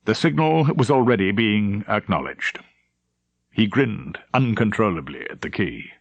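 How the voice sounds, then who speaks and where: Dramatic male audiobook narrator, lively range. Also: lowish voice, a little slow, creaky, dry recording, muffled recording. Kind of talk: dramatic scripted novel narration.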